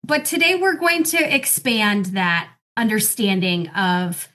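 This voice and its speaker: A woman's voice: mid-range voice, big pitch swings, takes it slowly, sharp tone. A woman hosting a podcast in an upbeat, encouraging way as she introduces and questions her guests. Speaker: podcast host.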